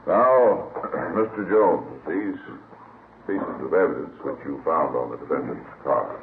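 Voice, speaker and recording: An actor playing a methodical, formal prosecuting attorney questioning witnesses in a 1950s radio drama, speaking deliberately. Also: male voice, very deep voice, animated, exaggerated voice. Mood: formal, probing. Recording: old radio recording, narrow, noisy, echoey.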